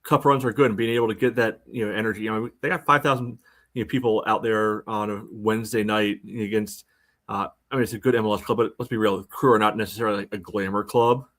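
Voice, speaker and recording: An American man co-hosting a casual soccer podcast, giving measured analysis in a halting, filler-heavy way. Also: on the low side, fairly even intonation, a bit bright. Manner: halting, measured, peppered with "you know". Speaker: podcast host.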